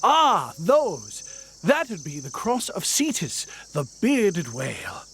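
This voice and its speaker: Excitable nerdy astronomer game character, high pitched, super animated, flowery earnest talk. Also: male voice, takes it slowly, slight background noise, heavily acted. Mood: intrigued, puzzled. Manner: theatrical, flowery, precise. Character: excitable, earnest, fussy male scholarly astronomer. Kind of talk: dramatic video game voice acting.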